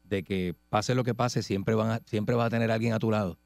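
A man's voice: lowish voice, fairly even intonation, brisk, creaky, darkish tone, no echo. A Puerto Rican man co-hosting a radio talk show, dropping in slangy reactions and blunt opinions. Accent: Puerto Rican Spanish. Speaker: radio co-host. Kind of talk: casual radio banter.

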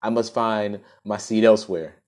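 A man's voice: lowish voice, fairly even intonation, moderate pace, natural voice. A man reading aloud, voicing a desperate grieving young mother who pleads breathlessly. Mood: pleading.

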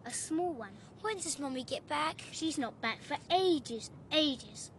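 A very high-voiced English child speaks in an eerie, repetitive sing-song. The delivery is insistent and ominous, in a radio horror play with some background noise. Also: animated, a little slow, fairly bright tone, full character voice. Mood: taunting, pleading. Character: eerie, insistent eleven-year-old child in a horror tale. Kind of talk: British radio horror drama acting.

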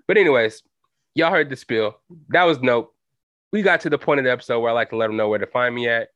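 A man's voice: medium pitch, quick pace, fairly bright tone, no room sound. A young adult man hosting a solo podcast, talking loosely in slang with lots of fillers. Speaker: podcast host. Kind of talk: solo casual podcast chat. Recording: dull lo-fi home recording.

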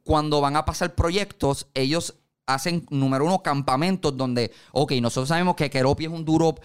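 Clear-voiced Puerto Rican man telling stories. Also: fairly high pitch, normal speed. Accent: Puerto Rican Spanish. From Puerto Rico. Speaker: music producer, interview guest. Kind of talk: casual conversational storytelling.

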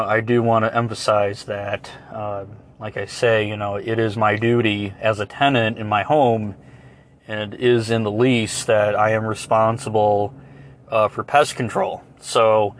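A hoarse man hosting a solo podcast, chatting in a rambling, folksy, apologetic way as he gives a casual update. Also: lowish voice, fairly bright tone. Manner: folksy, apologetic, mild euphemisms. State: hoarse, clearing throat. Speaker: podcast host.

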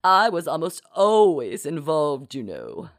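A woman's voice: low-pitched, big pitch swings, measured pace, no room sound, clean studio sound, full character voice. Woman acting grand, theatrical older duchess. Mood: amused reproach. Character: grand, wistful older duchess reminiscing about court intrigue.

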